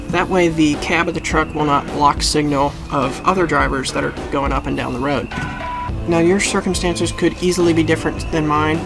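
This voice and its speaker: Higher-pitched man giving step-by-step how-to commentary. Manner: methodical step-by-step explaining. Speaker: host of a DIY how-to channel.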